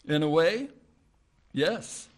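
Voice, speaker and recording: A man's voice: on the high side, expressive, drawn-out words, heavily acted. A man playing a smug rich rival suitor in a radio play, speaking with veiled menace.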